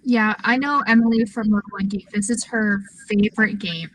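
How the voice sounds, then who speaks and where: A woman chatting casually about video games on a podcast, informal and halting as she thinks aloud. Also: mid-range voice, steady tone, poor audio. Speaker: podcast panelist.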